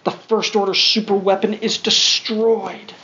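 A man's voice: high voice, fairly even intonation, measured pace, pushed and bright. A man reading a children's storybook aloud to his young son, with playful, wry side comments. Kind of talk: read-along children's storybook narration with casual asides. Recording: dull lo-fi sound, faint background noise.

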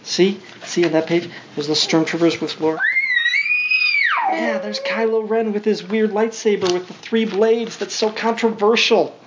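A woman's voice: mid-range voice, sing-song, a little slow. Woman reading a storybook, slightly muffled recording. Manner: simple child-directed exclamations and questions. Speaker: parent reading aloud to a young child. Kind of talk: read-along storybook narration with spontaneous asides to a child. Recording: dull home recording with faint noise.

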